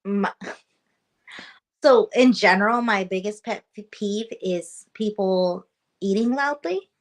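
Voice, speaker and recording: A woman's voice: mid-range voice, slow pace. A woman guest in a casual interview, a paranormal investigator who chats loosely, laughs often and says "like" a lot. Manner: chatty, laughing, lots of "like".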